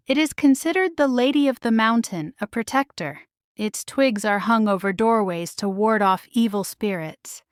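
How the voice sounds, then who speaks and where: A woman voicing a refined, caring queen and mother in a children's storybook, proper, measured and delicately formal. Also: fairly high pitch, animated, clean tone, dry recording, studio quality, lightly acted. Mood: gently instructive. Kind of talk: children's storybook character reading.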